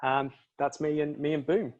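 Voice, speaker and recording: A man's voice: on the high side, little pitch movement, moderate pace, a little mellow, lo-fi sound. A man presenting slides on a video call webinar, earnest and enthusiastic, often restarting his words. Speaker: panel speaker, e-commerce trainer.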